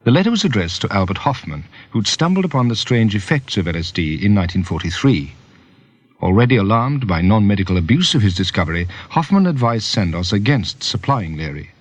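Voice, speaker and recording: Male documentary narrator, low, lively intonation, dry close recording. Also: a little fast, dry recording.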